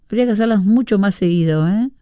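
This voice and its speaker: A woman's voice: mid-range voice, fast talker, warm tone, no echo. A middle-aged woman with a Uruguayan Spanish accent hosting a casual radio show, chatting and reading out listener messages with frequent fillers and asides. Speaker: radio host.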